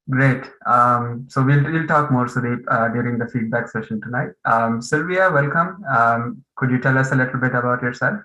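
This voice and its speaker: A man hosting an online meditation course on a video call, speaking with many fillers and pauses. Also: mid-range voice, reverberant, poor audio. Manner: hesitant, many fillers, warm welcoming tone.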